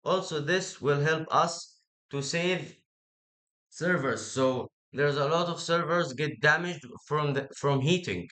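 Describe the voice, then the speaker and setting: A man with a fairly high, bright, forceful voice and an Egyptian accent, his native language being Arabic. He explains things informally, like someone pitching an idea, in halting, repetitive second-language phrasing. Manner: halting, repetitive non-native English with rough grammar.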